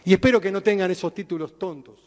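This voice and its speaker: A man's voice: sits high, quick pace. A man making a political statement. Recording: long-reverb hall clip.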